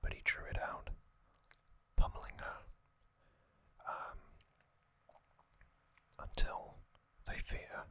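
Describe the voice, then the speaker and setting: Slow whispering man, ASMR host. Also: dry recording. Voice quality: soft unvoiced whisper throughout. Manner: gentle, unhurried, with frequent soft hesitations. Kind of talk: whispered ASMR trivia recap.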